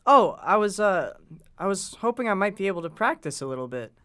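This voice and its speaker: A man's voice: sits high, very animated, a little fast, a bit bright. A man playing a polite, hesitant sixteen-year-old boy in an audio drama. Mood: nervous, polite. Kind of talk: nostalgic audio drama acting.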